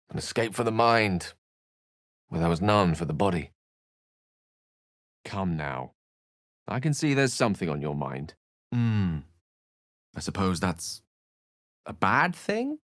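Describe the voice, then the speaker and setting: A learned wizard from a fantasy video game, speaks in a low, very animated voice. He is wordy and articulate, courteous with a wry, theatrical edge. The recording is close and dry, free of any room sound. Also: no room sound, heavily acted. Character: eloquent, learned adult male human wizard, wry and courteous. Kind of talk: video game character voice lines.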